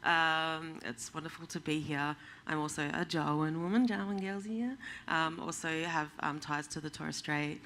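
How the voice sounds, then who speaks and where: A woman from Australia with a low voice and a gravelly, creaky edge. She introduces herself casually, giggling now and then and halting over many fillers. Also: fairly even intonation, fairly bright tone. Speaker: guest musician.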